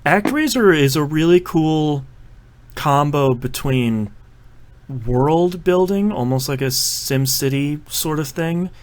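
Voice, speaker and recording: A man hosting a casual podcast about video games, chatting and running the segments with quick asides. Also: fairly high pitch. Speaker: podcast host. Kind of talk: casual chat.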